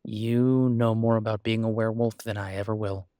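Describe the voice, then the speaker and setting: A man's voice: fairly low pitch, fairly even intonation, moderate pace, warm tone, clean tone, dry recording, studio quality, close to an everyday voice. A man playing an easygoing, supportive boyfriend in an intimate one-sided roleplay audio, talking casually and reassuringly with folksy turns of phrase. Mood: easygoing, self-deprecating.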